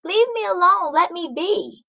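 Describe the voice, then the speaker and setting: A woman's voice: extremely high pitch, lively intonation, unhurried, gravelly, pushed and bright, voice pushed up, heavily acted. A woman voicing an ordinary, imaginative little girl in a storybook, given to musing and exclaiming. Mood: frightened.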